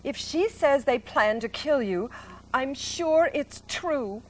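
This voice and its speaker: A woman's voice: sits high, lively intonation, slow pace, rough recording. A woman doing a proud, clever spider. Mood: firm. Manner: crisp, matter-of-fact, slightly grand. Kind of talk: storybook read-aloud.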